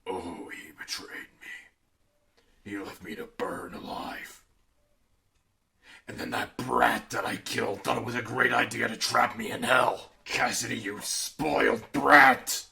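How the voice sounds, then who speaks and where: A man voicing a bitter, unhinged undead killer animatronic father telling an ASMR bedtime story, gravelly and theatrical, moving between menacing murmurs and outraged outbursts. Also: mid-range voice, takes it slowly, bright, forceful tone, heavily acted. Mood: bitter hushed.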